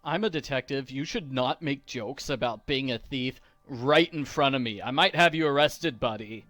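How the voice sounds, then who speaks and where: A man's voice: mid-range voice, normal speed, fairly bright tone, faint music behind. A man doing playful game walkthrough commentary with a goofy, mock-serious manner.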